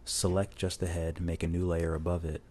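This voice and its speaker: Low-voiced man rambling over a drawing. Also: normal speed, slight background noise. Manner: rambling, relaxed, many restarts. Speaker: illustrator narrating a drawing tutorial. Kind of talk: casual voice-over while drawing. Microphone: lavalier mic plugged into a phone.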